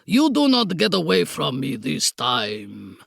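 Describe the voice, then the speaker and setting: A woman's voice: sits low, huge pitch swings, gravelly, breathy edge, no room sound, studio quality, pitched below the performer's own voice, full character voice. A woman voicing a gloating, villainous count with a thick Eastern European accent, snarling ungrammatical threats in an audiobook. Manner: slow, sneering, snarling.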